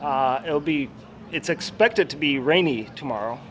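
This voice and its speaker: Man, from Kenya, friendly, hesitant with fillers, slightly high voice, faint outdoor noise. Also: expressive, moderate pace, a bit bright. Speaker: guest. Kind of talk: casual interview chat. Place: outdoors on campus steps.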